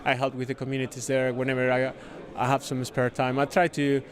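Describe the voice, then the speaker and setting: A man from Spain with a Spanish accent, a native Spanish speaker, explaining a product in a casual interview with halting, repeated words. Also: mid-range voice, completely flat delivery. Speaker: software consultant demonstrating a product, interviewee. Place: busy conference venue.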